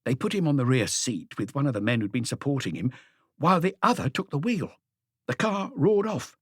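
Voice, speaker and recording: A man voicing an excitable, eager police inspector who reports deferentially to his boss in an audiobook. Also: mid-range voice, a little fast, husky, no echo, studio quality. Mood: eager, reporting.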